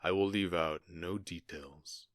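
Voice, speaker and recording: A man playing a menacing, gloating outlaw gang leader with a Southern US accent, mocking and deliberate, in an ASMR-style audio drama. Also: low voice, steady tone, dry recording, studio quality. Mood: taunting, sly. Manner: mocking, deliberate, drawn-out threats.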